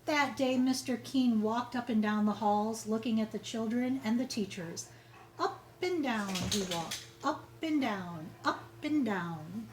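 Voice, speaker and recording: A woman's voice: a little high, lively intonation, measured pace, a little hiss or hum, low-quality recording. A woman reading a children's story aloud, expressively and with emphatic, dramatic phrasing. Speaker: storybook reader.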